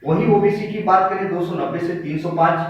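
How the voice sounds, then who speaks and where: A man's voice: sits high, a little fast. A man lecturing like a coaching teacher, explaining figures emphatically and repeating key points to students. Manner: emphatic, repetitive, directly addressing students. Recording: very echoey room, distant muffled mic, noisy.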